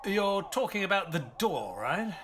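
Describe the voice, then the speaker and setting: An actor playing a good-natured, bumbling doctor sidekick who talks in a hesitant, stammering, jolly way. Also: male voice, sits high, very animated, unhurried, fairly bright tone, a little hiss or hum, full character voice. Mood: jovial. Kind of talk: audio drama acting. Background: faint piano music.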